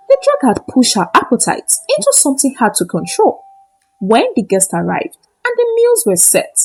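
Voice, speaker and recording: A woman narrating a scripted moral folktale in short, deliberate, dramatic phrases. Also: on the high side, slightly rough, low-quality recording. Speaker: storyteller.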